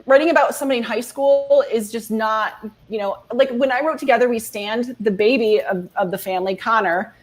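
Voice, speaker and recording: A woman's voice: a little high, a little fast, faint music behind. A woman in her forties, a guest author chatting casually, rambling with many restarts and fillers. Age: 40s. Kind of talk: casual video-call chat.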